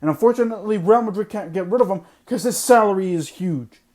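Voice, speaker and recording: A man's voice: sits high, fast talker, darkish tone. A man hosting a sports podcast, ranting bluntly and repeating his points for emphasis while losing his voice. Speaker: podcast host. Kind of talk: opinionated sports podcast monologue.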